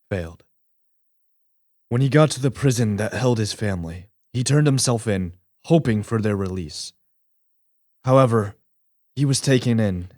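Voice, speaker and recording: Man acting as a low-voiced lonely creature, reflective. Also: darkish tone, no echo, clean studio sound, natural voice. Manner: formal, reflective. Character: lonely, thoughtful male creature telling his life story. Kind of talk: radio play narration.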